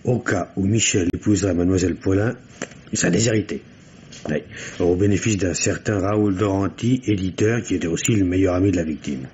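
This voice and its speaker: A low-voiced male police subordinate played by a radio actor talks rapidly, respectful but persistent. He is eager and colloquial, in old French mystery radio drama style. The recording sounds muffled and old. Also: a little mellow, slight background noise, natural voice. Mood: eager, reporting.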